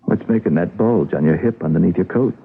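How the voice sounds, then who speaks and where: An actor playing a self-justifying stage manager under suspicion in a radio mystery, agitated and imploring. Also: male voice, deep voice, brisk, vocal fry, husky, exaggerated voice. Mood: downcast, nervous. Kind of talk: radio mystery drama acting. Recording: muffled lo-fi radio broadcast.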